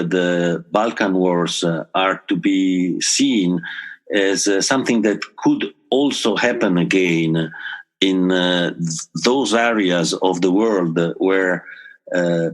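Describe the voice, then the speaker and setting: Male psychoanalyst guest; deep voice, slow and hesitant, halting with fillers. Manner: hesitant, many pauses and fillers. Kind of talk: recorded interview answers.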